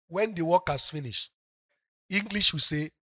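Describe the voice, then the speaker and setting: A middle-aged Nigerian pastor with a Yoruba-speaking accent preaching a sermon, moving from soft talk up to loud, passionate shouting. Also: male voice, on the high side, a little slow, dry recording, clean studio sound.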